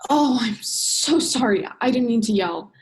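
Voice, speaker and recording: A woman's voice: on the high side, animated, unhurried, rough recording. A woman drama student acting a bitter, sarcastic young female scientist in a radio play, sardonic and emphatic, stretching stressed words. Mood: mocking. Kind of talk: radio play monologue and dialogue.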